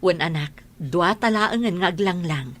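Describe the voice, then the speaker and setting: A woman's voice: fairly low pitch, moderate pace, a bit bright. A woman acting a patient, caring middle-aged mother and wife in an Ilocano radio drama, with a pleading, emotive delivery and an Ilocano Filipino accent. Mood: soothing, sad.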